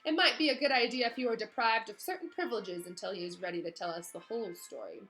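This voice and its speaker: A woman's voice: a little high, a bit bright, soft background music, lightly acted, poor audio. Woman doing a cold, sneering male schoolmaster. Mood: smug insinuating. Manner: icy, deliberate, sneering. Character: cold, sneering, insinuating male potions teacher.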